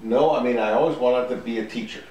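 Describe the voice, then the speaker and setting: An older man and former astronaut, telling his story in an easygoing, unhurried way in a living room interview. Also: medium pitch, a little mellow, a little hiss or hum. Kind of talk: relaxed conversational interview. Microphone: far camera. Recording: roomy sound from a distant camera.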